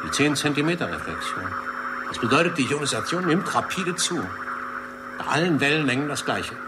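An actor playing a puzzled radio technician reporting on signals in a 1960s German radio play. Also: male voice, a little high, sing-song, fairly quick, over loud music.

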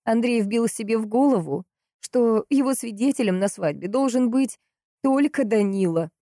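Female narrator as distraught, hot-tempered sister in crime audiobook: raised pitch, emphatic, impatient, dry sound. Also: mellow, no room sound, close to an everyday voice. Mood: agitated, impatient. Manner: emphatic, impatient, drawn-out stresses.

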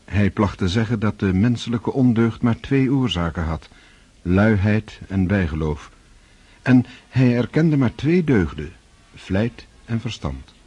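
A male storyteller delivers scripted literary narration for a radio play. His voice is deep and dark. It has a pronounced husky, breathy grain. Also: animated, a little slow, dry recording, lo-fi sound.

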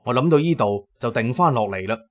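A man's voice: mid-range voice, expressive, fairly quick. A man giving dramatic first-person narration as the narrator of an audio drama.

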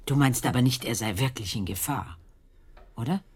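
An actress playing a concerned, inquisitive Victorian woman in a German radio play, with an expressive, questioning and musing delivery. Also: a bass voice, normal speed, husky, heavily acted. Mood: doubtful, worried.

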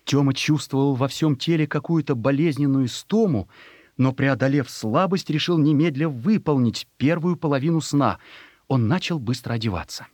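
Husky man narrating a dramatic story. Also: mid-range voice, normal speed, dry recording, studio quality. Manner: expressive, literary, emotive reading.

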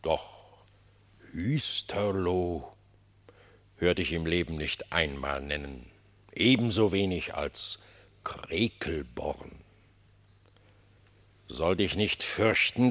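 Deep, stern animal king. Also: slow, long pauses, fairly bright tone. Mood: suspicious. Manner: regal, solemn, declamatory. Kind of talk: German radio play verse acting.

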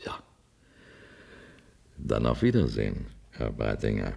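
Low, creaky-voiced space-station official. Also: male voice, big pitch swings, slow pace, mellow, heavily acted. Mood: coolly matter-of-fact. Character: businesslike male space-station official, coolly cynical about Earth. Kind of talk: 1970s German science-fiction radio drama acting.

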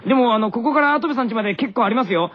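Fast, high-voiced male radio co-host. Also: lively intonation. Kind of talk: casual radio banter. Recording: dull lo-fi radio, music underneath. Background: background music.

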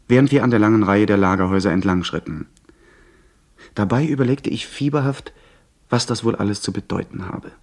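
Courteous young adventurer for radio drama, lowish register, polite and a little hesitant, telling his seafaring tale. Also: a little mellow. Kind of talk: 1970s German radio play acting and narration.

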